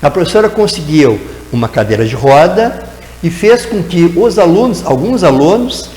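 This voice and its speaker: A man's voice: a little high, sing-song, normal speed, darkish tone, echoey room, big-room sound, poor audio. A man, a guest educator answering in a TV interview, explaining at length and tagging his sentences with "né?". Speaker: guest educator in teacher training.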